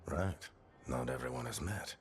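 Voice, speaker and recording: A man voicing a weary, wise older mentor in a video game, gravelly and gruff but fatherly. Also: a bass voice, animated, measured pace, a little hiss or hum, exaggerated voice. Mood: wry, weary.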